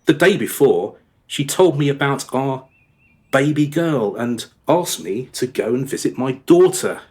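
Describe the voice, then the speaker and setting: A man's voice: medium pitch, lively intonation, a little slow, a little mellow, close to an everyday voice. An actor playing a remorseful married father in a radio play, confessing his past in a rambling, hesitant, over-descriptive way. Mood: rueful. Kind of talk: radio play dialogue, storytelling monologue.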